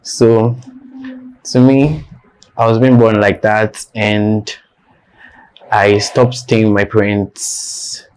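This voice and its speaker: Defiant young Nigerian man, low voice. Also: little pitch movement, takes it slowly, pauses often, darkish tone, clear, resonant tone, poor audio. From northern Nigeria. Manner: defiant, blunt, repeats himself for emphasis. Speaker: interview guest. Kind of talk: candid personal interview.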